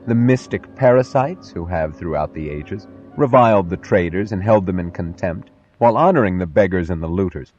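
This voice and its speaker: A man's voice: deep voice, normal speed, hardly any pauses, darkish tone, muffled recording. A man narrating a scripted philosophical speech in a solemn, emphatic, oratorical manner. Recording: faint music behind. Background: music.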